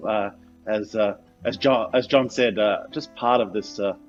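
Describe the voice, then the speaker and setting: A man's voice: lowish voice, steady tone, unhurried. A man, a rabbi, chatting as a radio guest in a hesitant, word-repeating way over a lo-fi link. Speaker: senior rabbi, show guest. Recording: dull lo-fi remote link. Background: light guitar music.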